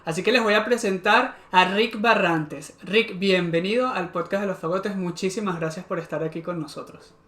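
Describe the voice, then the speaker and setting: A man's voice: high-pitched, normal speed, few pauses, pushed and bright, roomy sound. A man with a Venezuelan accent hosting a podcast, chatting in a friendly, rambling way with restarts. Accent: Venezuelan Spanish. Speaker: podcast host. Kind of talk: casual interview.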